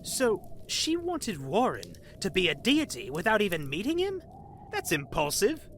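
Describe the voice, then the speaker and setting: A woman's voice: medium pitch, wildly swooping pitch, unhurried, slight background noise. A woman playing a blunt, impatient listener in an audio drama, questioning everything sceptically. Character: inquisitive, blunt, impatient listener named Klein.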